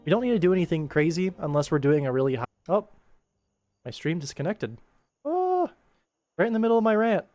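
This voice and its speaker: A man's voice: sits high, very animated, a little fast, pauses often, a little mellow, dry recording. A man hosting a casual work livestream as an animation director, explaining informally and thinking aloud. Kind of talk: casual livestream commentary while working.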